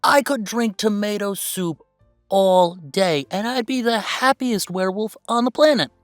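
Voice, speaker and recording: A man's voice: sits high, unhurried, no room sound, studio quality, soft background music. A man playing a playful, easygoing young werewolf boyfriend in a casual roleplay audio, chatting in a teasing, emphatic way.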